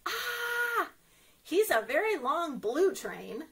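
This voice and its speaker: A woman with a high voice that swoops widely as she reads to small children. She takes her time in a sing-song story-time manner, often checking in with little questions. There is some noise in the recording. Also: wildly swooping pitch, slow pace, a bit bright, rough recording. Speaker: children's librarian reading a picture book. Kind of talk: read-aloud story time for young children.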